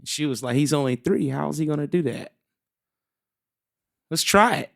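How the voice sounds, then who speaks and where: A young man from South Carolina, telling his story in a relaxed, rambling way as a guest on a casual interview show. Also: fairly high pitch, normal speed, gravelly. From South Carolina, USA. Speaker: guest musician.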